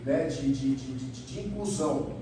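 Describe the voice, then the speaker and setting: A man's voice: mid-range voice, animated, unhurried, dark tone. A man with a Brazilian accent giving an academic presentation as a professor, explaining step by step and often tagging phrases with a checking question. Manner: explanatory, often ends phrases with a rhetorical "né" tag. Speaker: university professor presenting research. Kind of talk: academic conference presentation, semi-improvised. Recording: echoey room, distant mic, clear background noise.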